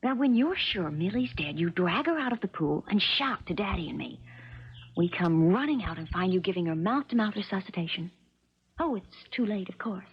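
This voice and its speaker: An actress playing a spoiled, scheming young rich daughter in a 1970s radio drama, insistent and coaxing in a self-assured way. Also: female voice, mid-range voice, lively intonation, normal speed, slight background noise, lightly acted. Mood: cold, coaxing. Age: young adult. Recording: muffled vintage radio broadcast recording.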